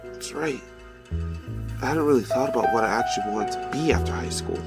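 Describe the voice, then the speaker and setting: An actor playing a sarcastic, easily flustered fifteen-year-old schoolboy who is secretly a hero, in an audio drama. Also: male voice, mid-range voice, fairly quick, over music, natural voice. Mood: musing. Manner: sarcastic, flustered, rambling excuses. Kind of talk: audio drama acting with inner monologue.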